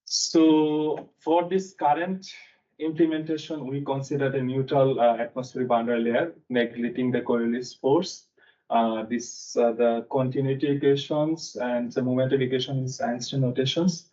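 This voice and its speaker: A young man from Bangladesh presenting a technical seminar in a conference room, talking haltingly with frequent fillers. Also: mid-range voice, a little mellow. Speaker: seminar speaker. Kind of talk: technical lecture. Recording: distant mic, dull sound.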